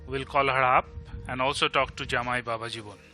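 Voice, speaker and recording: A supportive, joking bachelor friend played by a man in a bilingual audio drama, with an Indian Bengali accent. He talks very fast in a very bright, sharp voice, hopping between English and Bengali. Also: mid-range voice, fairly even intonation, faint music behind. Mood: encouraging.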